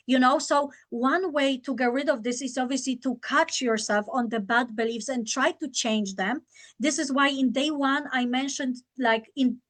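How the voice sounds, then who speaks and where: A woman from Poland with a Polish accent, coaching viewers on selling and mindset in a chatty, encouraging live stream full of fillers. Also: high voice. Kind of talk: live-streamed masterclass talk.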